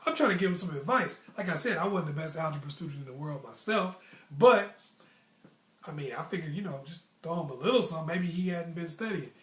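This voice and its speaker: High-pitched American man telling stories, echoey room. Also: fairly quick. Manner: animated, emphatic, slangy. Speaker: storytelling host. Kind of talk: casual personal storytelling with motivational advice. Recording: roomy, echoey sound.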